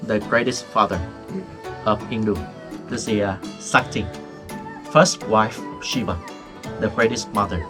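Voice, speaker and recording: A tour guide with a Vietnamese accent speaks in simple, broken English while explaining the sights to visitors. He is a native Vietnamese speaker with a humorous manner, and he chuckles often between points. He is recorded outdoors, with loud background music playing under his voice. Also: lowish voice, steady tone, measured pace, pauses often. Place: outdoors at ancient temple ruins.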